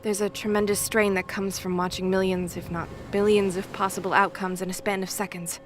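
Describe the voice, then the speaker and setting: A woman playing a solemn, wary young seer girl in an audio mythology drama, measured, earnest and deadpan. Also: medium pitch, a little hiss or hum, natural voice.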